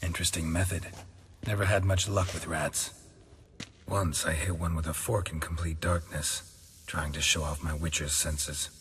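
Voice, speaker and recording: Low gravelly monster hunter, deadpan n sardonic, game dialogue w noisy ambience. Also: male voice, normal speed. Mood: dryly sardonic. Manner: deadpan, dry. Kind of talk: video game acting.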